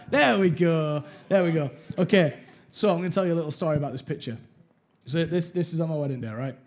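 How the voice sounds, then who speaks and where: A man's voice: a little high, a little fast, darkish tone. Candid man sharing testimony. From Wales. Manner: candid storyteller, acts out quotes, laughs at himself. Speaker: church speaker. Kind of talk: personal testimony talk.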